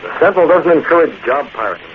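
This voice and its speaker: Brisk, animated newspaper boss. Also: male voice, on the high side. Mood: shrewd, urgent. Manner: firm, brisk, explanatory. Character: authoritative, shrewd newspaper boss explaining things confidently. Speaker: newspaper executive. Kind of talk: 1940s radio drama acting. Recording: tinny, hissy 1940s radio recording.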